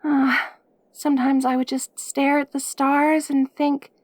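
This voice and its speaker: A perky, lonely demon girl, acted by a woman for an audio roleplay. Her voice is high and cutesy, and she talks straight to the listener in a clingy, gushing way with hesitant stammers. The recording is very dry, with no room sound. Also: level tone, a little slow, dry recording.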